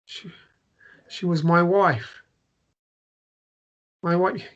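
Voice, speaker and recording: A man's voice: sits high, steady tone, very slow, a bit bright, exaggerated voice. Muffled-sounding table read: male actor as grieving widower, bitter, volatile, stumbling over repeated phrases. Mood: stunned grief. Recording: dull lo-fi sound.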